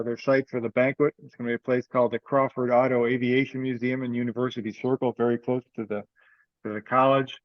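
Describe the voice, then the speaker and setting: A man leads an online meeting in a plain, conversational voice at a middle pitch. He talks informally and haltingly, thinking aloud as he goes. The sound is a slightly dull video-call recording with a dry, close room. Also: no room sound. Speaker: online meeting presenter for an amateur radio group. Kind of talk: informal meeting update, unscripted.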